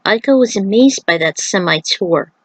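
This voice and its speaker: A woman reads a story aloud in an informal, chatty style, slipping between narration and casual commentary. Her pitch sits in the middle of the female range. The sound is very dry, with little room echo. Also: animated, moderate pace. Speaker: narrator reading own fan fiction. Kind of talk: story reading with casual asides.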